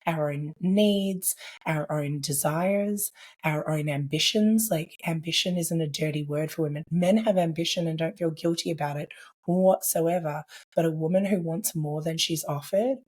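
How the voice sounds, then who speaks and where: Woman, low and hoarse from laryngitis, earnest reflective podcast guest. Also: moderate pace, a little mellow. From Australia. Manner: earnest, reflective, with frequent "like" and "I guess". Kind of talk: conversational podcast interview.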